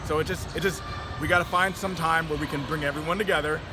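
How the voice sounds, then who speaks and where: A man's voice: fairly high pitch, fairly even intonation, fast, over loud music. An American man in middle age speaking as a tournament director in a casual interview, with informal, chatty phrasing. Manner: informal, peppers talk with "hey" and "you know". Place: at a basketball tournament venue. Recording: noisy gym, bouncing balls.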